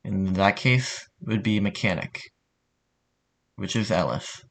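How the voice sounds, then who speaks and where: Low-pitched man, casual tutorial narrator. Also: unhurried, gravelly, fairly bright tone, rough recording. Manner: informal, offhand, mildly sweary.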